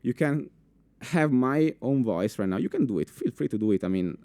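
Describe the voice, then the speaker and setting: A young man with an Italian accent giving a live conference talk in a full room, animated, with many fillers and restarts, asking the audience questions. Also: mid-range voice, normal speed, no room sound. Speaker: conference speaker.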